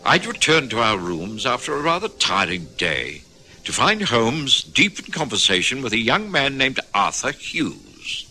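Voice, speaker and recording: Radio drama doctor-narrator: genial and courteous gentleman, forceful bright tone, widely varied intonation. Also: medium pitch, very animated, unhurried, full character voice. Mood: reflective narration.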